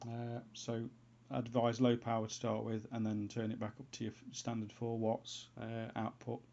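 A man giving an unscripted how-to voice-over as a hobbyist presenter, in a halting way, as if thinking aloud. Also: mid-range voice, monotone, gravelly, darkish tone, a little hiss or hum. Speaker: hobbyist presenter of a homebrew antenna tutorial. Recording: dull, lo-fi sound.

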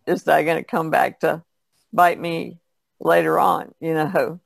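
A woman's voice: sits low, steady tone, unhurried, vocal fry, no room sound. A woman chatting casually in a podcast discussion.

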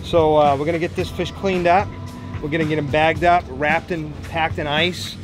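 A middle-aged boat captain explains the steps in a plain, matter-of-fact way, his voice a little higher than usual for a man. He is outdoors on the deck. Very loud music plays underneath. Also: fairly even intonation, moderate pace. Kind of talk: explaining fish handling.

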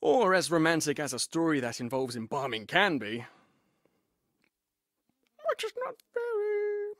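A man in a studio recording session, playful and bantering, his pitch leaping widely while he talks slowly. The sound is close and dry. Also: no room sound. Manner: playful, animated, mic-testing banter. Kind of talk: game voice session outtakes.

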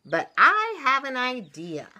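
A woman's voice: sits high, wildly swooping pitch, takes it slowly, background noise, pitched above the performer's own voice, full character voice. A woman voicing a kindly, encouraging beaver in a reassuring storybook manner.

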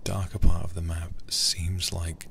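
A soft-spoken man livestreaming a game in a close-miked ASMR style, often dropping to a whisper. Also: low voice, little pitch movement, a little slow. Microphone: close ASMR microphone. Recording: clear background noise under close voice.

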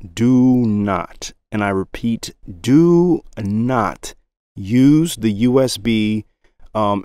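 A man's voice: fairly low pitch, a little mellow, clean tone, dry recording, studio quality. A man with a slightly groggy morning voice, talking casually to viewers as he reviews a microphone. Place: home studio. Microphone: budget condenser microphone through audio interface.

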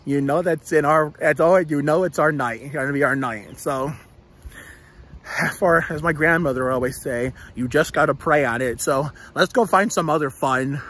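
A young man chatting casually and excitably, swearing freely, into a phone at arm's length while vlogging outside. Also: on the high side, normal speed. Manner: excitable, profanity-laden. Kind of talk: casual self-filmed vlog chat. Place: outdoors on a suburban street. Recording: outdoor street noise, passing vehicles.

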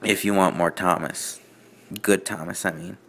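Lowish-voiced guy riffing on bad fanfic, sardonic, keeps stopping and repeating himself. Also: fairly even intonation, normal speed, vocal fry, a bit bright. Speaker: host reading fan fiction aloud. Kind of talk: casual mocking commentary on amateur writing.

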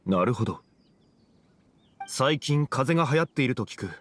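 A male actor as a composed young gentleman of an anime game. His mid-range voice is measured and courteous, with formal, old-fashioned phrasing that sounds wise beyond his looks. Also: mid-range voice, animated, a little hiss or hum, natural voice. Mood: calm, courteous.

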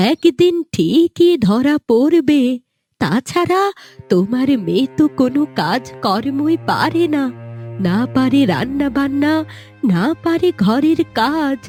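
A woman's voice: high voice, darkish tone, heavily acted. A woman voicing a bitter old village grandmother in a fairy-tale cartoon, reproachful and scolding.

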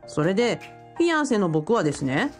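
A woman's voice: medium pitch, very animated, brisk, faint music behind, close to an everyday voice. A woman playing a polite, eager young man who works in a bookshop, deferential and explanatory, in a radio drama. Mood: earnest, explanatory. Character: polite young man, bookshop part-timer, eager self-styled fiancé.

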